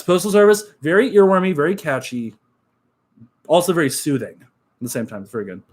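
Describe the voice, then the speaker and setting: Young man, nasal voice, lively pitch, casual stream chat, filler words. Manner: relaxed, upbeat, many fillers and "like". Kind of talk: casual live-stream chat reading comments.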